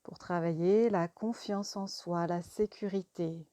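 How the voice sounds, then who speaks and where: A woman guiding a yoga relaxation meditation in a calm, soothing, deliberate manner. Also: fairly low pitch, no echo, studio quality. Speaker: yoga nidra teacher.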